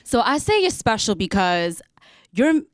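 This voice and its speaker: A woman's voice: a little high, very animated, measured pace, no room sound, studio quality. A woman from Brooklyn with a Brooklyn accent, hosting a casual interview. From Brooklyn, New York. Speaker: host.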